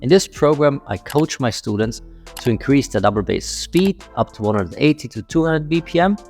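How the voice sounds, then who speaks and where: A man's voice: medium pitch, animated, normal speed, a little mellow, faint music behind. A man with an Austrian accent, a drum coach speaking frankly to his channel's viewers. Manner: frank, matter-of-fact. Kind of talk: direct-to-camera channel talk.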